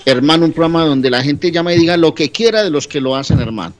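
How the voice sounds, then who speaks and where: A male radio commentator, forceful yet calming, addressing everyone as brother. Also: on the high side, quick pace, faint music behind. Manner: calming, forceful, says hermano a lot.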